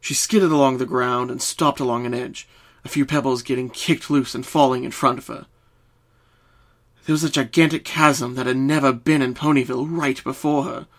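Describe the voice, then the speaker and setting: A man's voice: mid-range voice, steady tone, normal speed. A man reading scripted story narration as a dramatic narrator.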